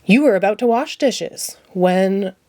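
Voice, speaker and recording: A woman's voice: medium pitch, animated, a little slow, dark tone, lightly acted. A woman voicing a pompous, bumbling male puppet detective from a children's storybook, declaiming grandly. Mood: confident. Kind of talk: children's storybook read-aloud.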